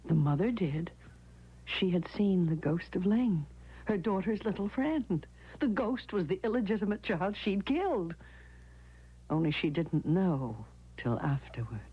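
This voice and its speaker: On a dull vintage radio recording, an anxious young wife in a gothic radio drama. Her voice is breathy and moves expressively, earnest and devoted, with a haunted edge. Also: lowish voice, lively intonation, measured pace. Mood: frightened, haunted. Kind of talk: old-time radio drama acting, with narration.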